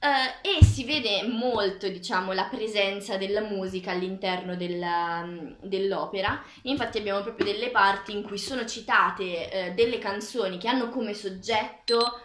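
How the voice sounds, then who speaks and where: Young female vlogger, early twenties, bright forward voice, hesitant phrasing, casual review delivery, reverberant small kitchen. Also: medium pitch, a little hiss or hum. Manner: halting, pausing mid-thought. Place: small tiled kitchen, indoors. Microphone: camera across the table. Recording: echoey tiled kitchen.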